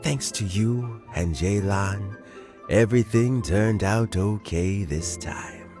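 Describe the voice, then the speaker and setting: An actor playing a wise elderly spymaster in a game, giving calm, measured advice. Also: male voice, lowish voice. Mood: calm counsel. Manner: measured, advising, gently paced. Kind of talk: game voice acting. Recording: game audio with faint music. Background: faint music.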